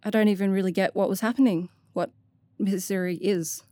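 A woman's voice: medium pitch, fairly even intonation, a little fast, dark tone, no echo, clean studio sound, natural voice. A woman playing an anxious but determined, self-doubting young adventurer in an audio drama, speaking in a hesitant, plain way. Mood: quiet relief.

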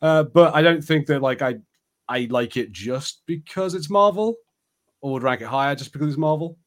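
A man's voice: a little high, moderate pace. A man co-hosting a podcast, giving his opinions in a casual, conversational and tentative way. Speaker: podcast co-host. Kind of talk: casual chat.

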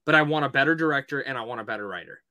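Bright, forceful male podcast co-host. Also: medium pitch, very fast, dry recording. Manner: emphatic, swears casually, repeats himself for emphasis. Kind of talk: casual film discussion.

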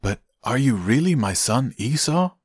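An aged, frail father from a scripture tale, acted by a man reading aloud. He speaks with solemn weight, declaiming deliberately in a raised voice. The sound is close and dry. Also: medium pitch, big pitch swings, breathy edge, dry recording, voice pushed up. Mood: doubtful, probing. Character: old frail solemn father, a biblical patriarch blessing sons.

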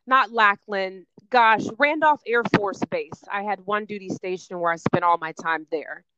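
A woman's voice: mid-range voice, unhurried, pushed and bright, highs cut off, a little hiss or hum. A woman guest talking about her career in an emphatic, self-assured way in a podcast interview. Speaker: guest, fitness and wellness coach. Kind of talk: energetic interview answers.

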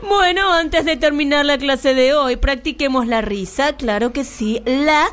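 A woman's voice: high voice, measured pace, fairly bright tone, a little hiss or hum. A woman playing a gleefully wicked, bossy kindergarten teacher in comic radio theatre, mock-sweet and imperious. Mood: instructing.

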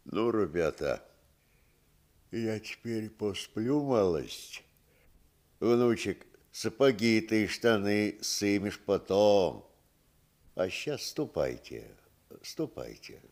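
Elderly peasant grandad, low voice, very slow rustic delivery, gentle, radio drama. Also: male voice, sing-song, exaggerated voice. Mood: weary, kindly. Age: older. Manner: unhurried, rustic, sparing of words. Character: old taciturn village grandfather, gentle and wise. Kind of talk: radio play acting.

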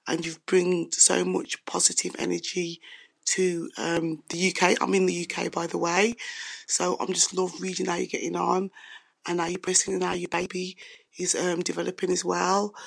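A woman who is losing her voice from a cold, sending an earnest, halting fan message from the UK. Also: lowish voice. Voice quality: gravelly, hoarse. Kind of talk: recorded video message. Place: United Kingdom.

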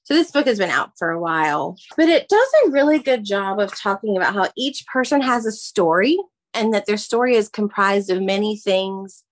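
A woman in her thirties, a former teacher and mother, answering interview questions in an earnest, animated way. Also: medium pitch, normal speed. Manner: earnest and animated, warm emphasis on key phrases. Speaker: guest, former teacher and mom.

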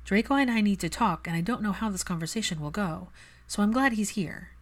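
A woman's voice: medium pitch, a little fast, voice pushed up, close to an everyday voice. A woman voicing a guarded, easily flustered young woman in an audiobook, speaking in an earnest and hesitant manner. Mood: troubled.

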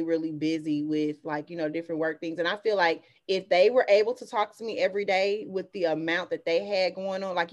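An American woman co-hosting a podcast, chatting casually among friends with an emphatic, animated, slangy delivery. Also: lowish voice. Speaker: podcast co-host.

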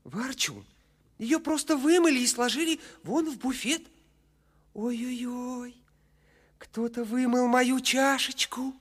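An actor playing an excitable, good-natured dwarf leader in a cartoon, with a husky voice. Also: male voice, very high voice, slow pace, heavily acted. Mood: curious, puzzled. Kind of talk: cartoon voice acting.

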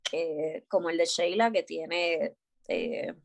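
A woman hosting a podcast, talking in a quick, casual way. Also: low voice, gravelly, dry recording. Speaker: podcast host.

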